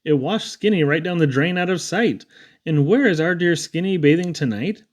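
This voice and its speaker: A man's voice: fairly high pitch, moderate pace. A man reading humorous children's poems aloud in a theatrical, playful storytelling manner. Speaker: poetry reader.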